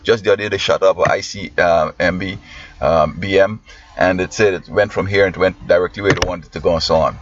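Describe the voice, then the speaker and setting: A man's voice: low-pitched, steady tone, a little fast. A man from Guyana with a Guyanese accent, a schoolteacher giving rambling, preachy commentary that often trails off mid-sentence. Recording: muffled lo-fi with faint hiss.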